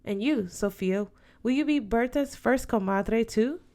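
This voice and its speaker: A woman's voice: a little high, a little slow, dark tone. A woman voicing an older godmother aunt in an audiobook, firm, affectionate and dutiful, counselling emphatically. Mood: earnest. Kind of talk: audiobook character voice.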